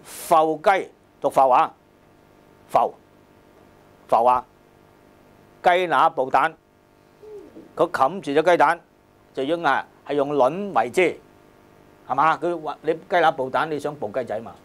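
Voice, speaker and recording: A man's voice: a little high, normal speed, long pauses, a bit bright. A man with a Hong Kong Cantonese accent lecturing on a classical text in a colloquial, emphatic way, repeating phrases and checking with rhetorical questions.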